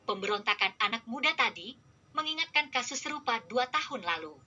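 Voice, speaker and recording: A woman reading scripted narration in an even, formal manner. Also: on the high side, brisk, highs cut off, over loud music.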